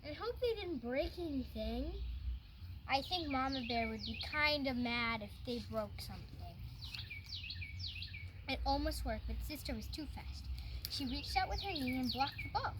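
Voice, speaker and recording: A high female voice reads a children's picture book aloud in a noisy amateur recording. She reads a little haltingly and adds simple, childlike comments of her own along the way. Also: animated. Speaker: storybook reader.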